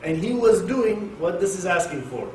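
A man from Oklahoma giving an informal Quran class to an audience in a mosque, talking in a jokey, animated way and turning to people in the room. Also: high voice, normal speed. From Oklahoma, USA. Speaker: Quran teacher. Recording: echoey room, distant mic, faint hiss.

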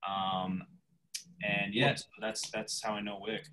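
A man's voice: fairly low pitch, little pitch movement, sharp tone, a little hiss or hum. Eager New York storyteller man. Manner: eager storyteller, quick bursts. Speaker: challenge creator guest. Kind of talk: casual video call chat. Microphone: video call.

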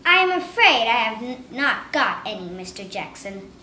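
A woman's voice: on the high side, sing-song, normal speed, sharp tone, voice pushed up. A woman voicing a fussy, tidy little female mouse who talks in a prim, scolding way. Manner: prim, scolding, house-proud. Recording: roomy with faint hiss.

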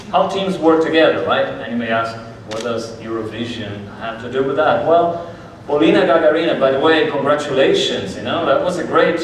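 A man in his forties gives a friendly, chatty conference talk at a mid pitch. He sounds distant from the mic, and the large hall around him adds heavy echo and a faint hum of ambience. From Brazil. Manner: friendly, conversational, gestures a lot. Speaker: conference speaker. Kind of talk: conference presentation. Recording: echoey hall from far off.

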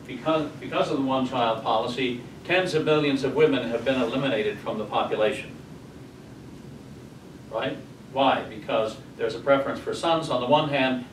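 An American man in his sixties presenting a slide lecture at a conference, speaking emphatically with deliberate pauses. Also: medium pitch. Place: hotel conference room, indoors. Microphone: far camera. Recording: distant camera mic, echoey, noisy room.

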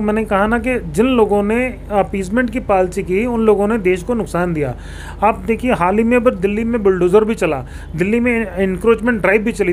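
High-voiced man, politician giving interview answers, explanatory, English terms mixed in, noisy room. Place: small indoor office, seated on a sofa. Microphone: handheld reporter mic held toward him. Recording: clear background room noise.

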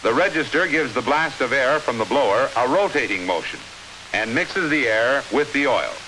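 An American man reading scripted 1950s instructional film narration in a measured, clearly enunciated, authoritative manner. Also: medium pitch, animated, pushed and bright, rough recording. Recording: hissy 1950s film soundtrack.

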